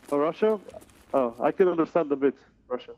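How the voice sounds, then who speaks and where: A man's voice: fairly high pitch, animated, fairly quick, muffled recording. A young man from Sweden talking casually in an online game's voice chat through a gaming headset. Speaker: player in an online game.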